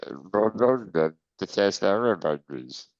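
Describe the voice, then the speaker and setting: A man co-hosting a podcast, talking in a loose, laid-back way with plenty of tag questions. His voice is very low. The recording is dry and dull, with a lo-fi tone. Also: expressive, a little slow, no room sound. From Wales. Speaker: podcast co-host. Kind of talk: casual chat.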